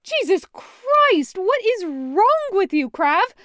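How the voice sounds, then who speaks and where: A woman voicing a brash young man who swears and talks hysterically, loud and rushing. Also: fairly high pitch, expressive, smooth, no room sound, clean studio sound. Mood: frantic.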